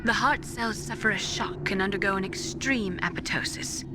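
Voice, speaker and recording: An actress playing a bitter, grieving woman scientist, speaking slowly and confidingly in a video game cutscene. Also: medium pitch, heavy background noise, close to an everyday voice. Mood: clinical, grave. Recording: loud music bed underneath. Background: loud dramatic music.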